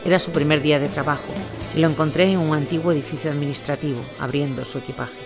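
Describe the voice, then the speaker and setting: Male war reportage narrator over clear music. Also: a little high, fairly even intonation, quick pace. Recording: music bed under voice.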